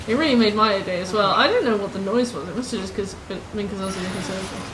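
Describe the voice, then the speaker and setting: A woman in her twenties with a squeaky voice, chatting casually with her viewers while playing a game. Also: rapid-fire. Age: 20s. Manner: chatty, banters with chat. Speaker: streamer. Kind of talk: casual gameplay chat. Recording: echoey room with background noise.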